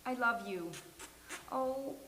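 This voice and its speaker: A high female voice in an echoey room with faint hiss as a woman plays a sitcom heroine. She is wry, neurotic and eager, with a conversational stage delivery. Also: slow pace, pushed and bright. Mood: excited, wry. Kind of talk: live sitcom table read. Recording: roomy live venue with faint hiss.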